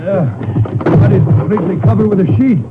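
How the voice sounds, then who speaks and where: A man's voice: high voice, a little fast, over loud music, close to an everyday voice. An American man playing a practical, folksy medical examiner in a 1950s radio drama, with plain-spoken delivery. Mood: busy, helpful. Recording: muffled, noisy old radio broadcast recording.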